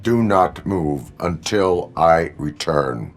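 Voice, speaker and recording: An actor playing a stoic cyborg police officer in a video game, deadpan and clipped with formal phrasing. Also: male voice, deep voice, slow, slight background noise. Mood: cold, commanding.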